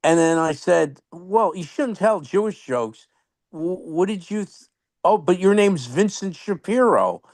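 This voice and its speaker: A man with a New York accent telling animated stories in a casual online chat, full of restarts and chuckles. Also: high-pitched, a little slow, dry recording, studio quality. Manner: animated, laughing anecdotes with many restarts. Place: online video meeting.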